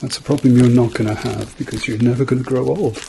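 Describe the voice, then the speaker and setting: A middle-aged man speaks softly as a doctor in an ASMR medical role-play. His voice is creaky and medium in pitch, and he takes his time, murmuring gentle encouragement. There is clear background noise with small clicks. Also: steady tone, fast. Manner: reassuring, murmured repeated encouragement.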